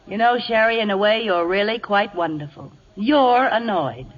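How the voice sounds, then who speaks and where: Woman playing husky, bitter, outspoken secretary. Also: mid-range voice, animated, normal speed, natural voice. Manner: bitter, sardonic, heartfelt. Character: wry, wounded, outspoken female secretary. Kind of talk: 1940s radio comedy acting. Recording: dull vintage radio recording, long reverb.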